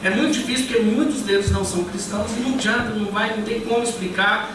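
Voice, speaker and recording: Casual preacher from Goiás with the accent, highish voice, heavy church echo, sounds far from the mic. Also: male voice, quick pace. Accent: Goiás Brazilian Portuguese. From Goiás, Brazil. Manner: relaxed, conversational, many fillers and tag questions. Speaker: guest pastor and missionary. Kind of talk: church sermon. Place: church hall. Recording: echoey church hall, picked up from afar, noisy.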